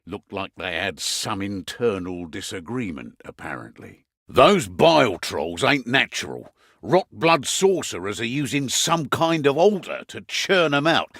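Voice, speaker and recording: An actor playing a gruff, wry middle-aged soldier spymaster with a British accent and gravelly voice, briefing troops in a fantasy video game. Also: male voice, lowish voice, a bit bright, no echo, studio quality, exaggerated voice. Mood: regretful, then grim, wry. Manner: sardonic, colloquial, theatrical.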